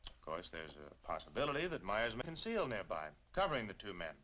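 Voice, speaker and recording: A man's voice: lowish voice, sing-song, a little fast, sharp tone. An actor playing an official, courteous lawman in 1950s film style, on an old film soundtrack. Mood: official, matter-of-fact. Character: brisk, official male American lawman.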